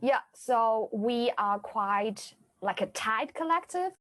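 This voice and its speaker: Brief: young woman, Chinese accent, casual podcast guest, halting and self-correcting. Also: a little high, animated, a bit bright. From China. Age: young adult. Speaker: student guest.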